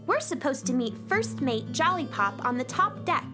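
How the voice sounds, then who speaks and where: A woman's voice: high-pitched, sing-song, moderate pace, music in the background. A woman playing an eager, earnest young space cowgirl in a children's radio play. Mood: eager, concerned.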